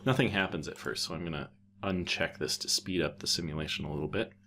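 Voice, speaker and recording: A man presenting a casual, unscripted software tutorial in an offhand way, thinking aloud as he goes. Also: extremely low pitch, quick pace, slight background noise.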